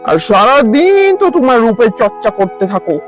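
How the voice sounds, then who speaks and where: A man's voice: very high voice, very animated, a little fast, faint music behind. A man playing a hungry, exasperated village husband in a cartoon, henpecked, pleading and grumbling. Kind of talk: cartoon voice acting.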